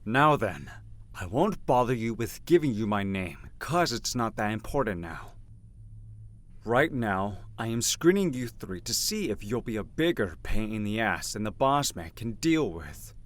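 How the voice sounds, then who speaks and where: Man playing a blunt, animated syndicate henchman. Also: on the low side, unhurried. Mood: brusque, commanding. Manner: blunt, domineering, no-nonsense. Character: gruff, businesslike crime syndicate henchman screening recruits. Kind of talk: audio drama acting.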